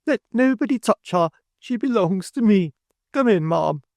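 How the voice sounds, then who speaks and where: A man reading aloud and voicing a pompous, proper middle-aged woman who is triumphant and officious, in a high, overbearing voice. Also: expressive, normal speed, gravelly, no room sound, studio quality. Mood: commanding, excited. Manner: shrill, high, stately and overbearing.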